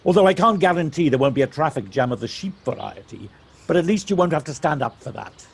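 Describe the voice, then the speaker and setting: An actor playing a friendly, gently teasing countryman who drives and chats in an unhurried way, in an audio play. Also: on the high side, animated, creaky, slightly rough, full character voice. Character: friendly Welsh countryman, chatty driver fond of hills. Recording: home device recording, light background noise. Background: birdsong.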